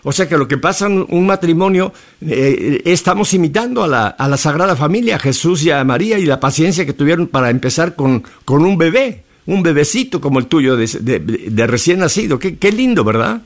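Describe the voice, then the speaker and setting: A man's voice: on the high side, animated, brisk, hardly any pauses. A man hosting a radio interview, effusive and chatty, full of religious asides. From Mexico. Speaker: radio host.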